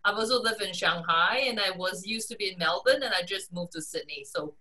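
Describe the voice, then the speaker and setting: A woman in her forties, raised in Singapore, telling her career story as a guest on a video call, animated and quick to laugh. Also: recorded from a distance, rough recording. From Malaysia, raised in Singapore. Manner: animated storyteller, laughs often, lively pitch swings. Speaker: guest, strategy consultant. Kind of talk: casual video-call interview.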